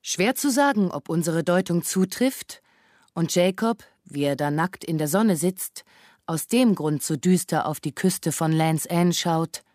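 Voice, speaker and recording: A woman's voice: on the low side, animated, measured pace, darkish tone, no room sound, studio quality. An actress playing an observant, melancholy woman who narrates what she watches, reflective, in a literary radio drama. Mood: observing.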